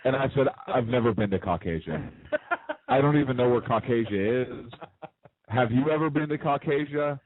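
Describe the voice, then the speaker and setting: A man's voice: medium pitch, moderate pace. An American man co-hosting a casual political talk show, telling stories with animation and teasing his co-host. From United States. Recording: dry, phone-like narrow sound.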